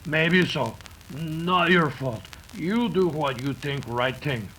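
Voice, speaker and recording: An actor playing a loyal, plain-spoken sidekick in an old-time radio western, talking in clipped pidgin English. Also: male voice, on the high side, expressive, slow pace, bright, forceful tone, slight background noise, exaggerated voice, poor audio. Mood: reassuring.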